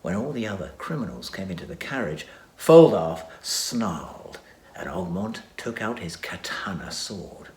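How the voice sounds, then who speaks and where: A man narrating a children's adventure story with dramatic reading and hushed asides. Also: sits low, very animated, a little slow. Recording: echoey room.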